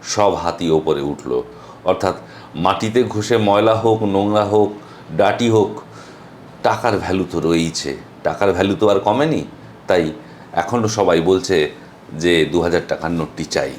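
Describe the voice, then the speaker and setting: A man with an Indian Bengali accent speaks in a fairly low voice, telling motivational stories. His delivery is slow and earnest, pausing for emphasis, over a faint background noise. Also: a little mellow. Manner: mixes English phrases into speech. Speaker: motivational vlogger. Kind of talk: motivational storytelling monologue.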